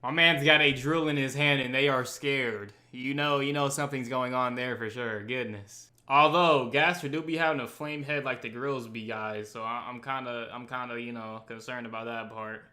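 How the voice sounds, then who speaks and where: A man's voice: mid-range voice, steady tone, normal speed, hardly any pauses, pushed and bright. A man hosting a reaction video in a casual, slangy, exclamatory way, often repeating his phrases. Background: music.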